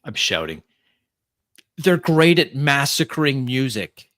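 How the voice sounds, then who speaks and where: A man's voice: mid-range voice, big pitch swings, slow pace, a bit bright, no room sound, voice pushed up. A man playing a fussy, exasperated snobbish vampire, protesting in a flustered, emphatic, stammering way. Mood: indignant.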